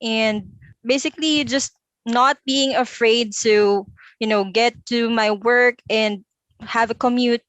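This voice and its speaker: A young woman speaks in a chatty, upbeat way, laughing often. Her voice sits fairly high. The recording is close and dry. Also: steady tone, takes it slowly, a bit bright, dry recording, muffled recording. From Philippines. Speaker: interview guest. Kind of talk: casual online interview. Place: home room.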